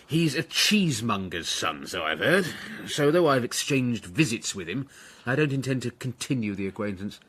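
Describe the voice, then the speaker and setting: An actor playing a snobbish, dissembling sporting gentleman with an aloof manner in a period radio drama. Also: medium pitch, sing-song, moderate pace, breathy edge. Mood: smooth disdain.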